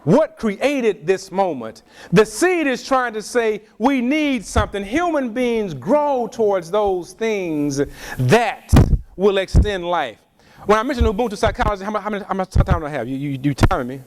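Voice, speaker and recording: High-voiced man lecturing emphatically. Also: lively intonation. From Louisiana, USA. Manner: slow, emphatic, preacher-like delivery. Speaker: speaker at a community event. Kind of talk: lecture with call and response.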